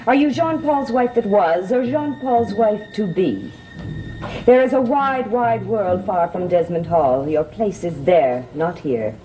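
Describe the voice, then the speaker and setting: An actress playing a stern, knowing woman in a gothic soap opera, speaking solemnly and deliberately, like someone giving hypnotic commands. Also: mid-range voice, warm tone, slight background noise, lightly acted, rough recording. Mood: stern, accusing.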